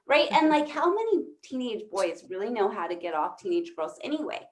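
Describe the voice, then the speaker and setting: A woman's voice: a little high, big pitch swings, a little fast. A woman doing conversational stand-up comedy, rambling and swearing as she interrupts herself, recorded in an echoey room. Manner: rambling, profane, self-interrupting.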